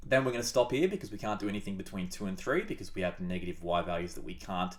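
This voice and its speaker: A low-pitched man, audibly congested from a cold, explains graph sketching on a whiteboard. His delivery is chatty and upbeat, with playful asides along the way. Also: animated, fast talker. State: sick, blocked-up nose. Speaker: maths tutor. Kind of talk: casual whiteboard maths tutorial.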